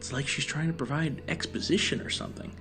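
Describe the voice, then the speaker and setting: Guy playing a sarcastic sidekick agent, slightly high voice, casual wisecracks, audio drama, faint music. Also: fast talker, natural voice. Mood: mocking.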